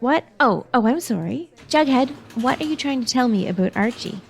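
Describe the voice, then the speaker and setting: Woman doing sweet teen girl, high lively voice, easily flustered, 40s radio sitcom. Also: moderate pace, creaky, a little hiss or hum. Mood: puzzled. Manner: hesitant, earnest. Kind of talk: 1940s radio comedy acting.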